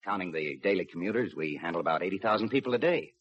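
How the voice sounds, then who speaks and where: An actor playing a dutiful, weary police lieutenant in a 1950s radio drama, with understated, slightly hesitant delivery. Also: male voice, low voice, animated, rapid-fire, a bit bright, husky, full character voice. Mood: matter-of-fact. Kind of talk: 1950s radio drama acting and first-person narration. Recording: muffled old radio recording with music.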